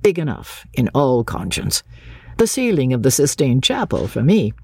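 Audiobook reader doing a chatty opinionated english vicar, rambly and theatrical, pitch all over, bit husky. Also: sing-song, moderate pace, mellow, no room sound, clean studio sound. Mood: jovial, rambling.

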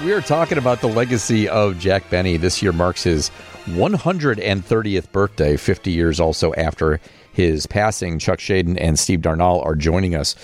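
An American man hosts a radio interview in a deep register. The sound is dry and studio-close, and his delivery is that of a broadcast presenter. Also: no echo. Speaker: radio host.

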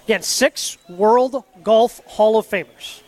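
Upbeat high-voiced male radio host. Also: a little mellow. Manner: upbeat, quick, enthusiastic golf chatter. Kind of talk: live sports radio talk. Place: outdoors at a golf tournament.

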